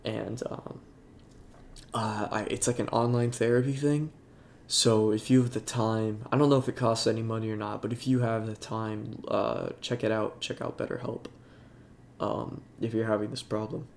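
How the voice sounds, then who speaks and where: A young man with a congested voice, talking in a halting, soft-spoken way with many fillers over game footage. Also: on the low side, level tone, vocal fry, a little hiss or hum. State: congested, sniffling. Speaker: YouTuber. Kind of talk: casual talk over gameplay.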